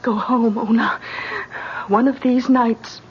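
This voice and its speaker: An actress playing a bitter, frightened, superstitious young wife in a radio horror drama, intense and urgent. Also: female voice, fairly high pitch, steady tone, slow. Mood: ominous, insistent. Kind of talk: 1970s radio drama acting. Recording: dull, noisy old radio recording.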